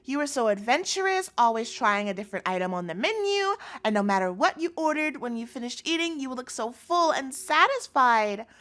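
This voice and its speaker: A woman's voice: sits high, sing-song, brisk, few pauses, fairly bright tone. A woman playing an obsessive chef girlfriend in a scripted audio roleplay, sugary and coaxing with a threatening edge, speaking intimately to the listener. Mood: sweet, doting. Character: obsessive yandere chef girlfriend, sweet but menacing.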